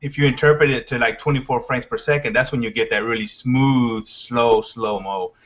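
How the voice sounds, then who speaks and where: A man's voice: medium pitch, steady tone, moderate pace, fairly bright tone. A man in his forties from Texas, a guest on a casual video call, who explains technical steps plainly. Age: 40s. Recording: dull lo-fi video call audio.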